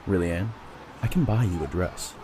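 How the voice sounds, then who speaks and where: A man playing a kind, earnest young mercenary in an audio drama. He talks in a warm, reassuring way. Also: low-pitched, moderate pace, noisy background, close to an everyday voice. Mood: generous.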